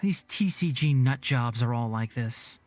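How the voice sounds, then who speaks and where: A man's voice: mid-range voice, expressive, measured pace, a little mellow, soft background music, close to an everyday voice. An actor playing a blunt, dry-witted young man who teases his friend. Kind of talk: game voice acting.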